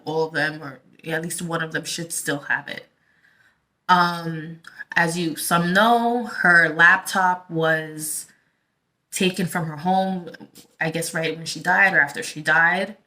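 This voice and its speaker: A woman from the Dominican Republic chats casually to her online audience. Her voice is fairly low and clearly bright, with a forward edge. She takes her time, rambling and halting as she works out what to say next. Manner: halting, rambling, thinking aloud. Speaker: tarot reader and channel host. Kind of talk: casual vlog talk to viewers.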